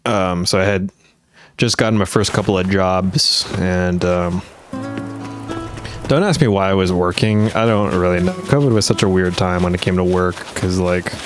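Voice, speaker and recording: A young man with a very deep voice that sounds worn and a little rough, chatting loosely while he plays. He rambles, trails off and restarts often. Clear game music plays underneath him. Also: normal speed. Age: young adult. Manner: rambling, many fillers and restarts. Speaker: gaming video host. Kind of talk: casual gameplay commentary.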